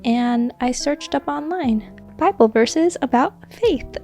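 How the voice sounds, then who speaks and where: A woman in her twenties, a crochet creator chatting casually and earnestly as she answers viewer questions, sometimes dropping to a soft whisper. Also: high-pitched, animated, takes it slowly, soft background music. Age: 20s. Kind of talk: casual Q&A chat.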